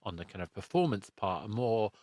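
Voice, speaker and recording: A man's voice: on the low side, animated, brisk, a little mellow, no echo. A man hosting a podcast, chatting casually in a rambling, reflective way. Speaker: podcast host and interviewer. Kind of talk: casual interview chat.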